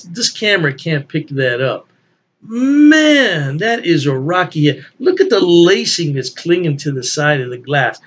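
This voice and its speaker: Older man, higher-pitched voice, emphatic. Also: a bit bright, slightly rough. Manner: enthusiastic, emphatic, repeating phrases for emphasis. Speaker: beer reviewer, channel host. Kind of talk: casual unscripted review chat to camera. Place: small indoor room at home.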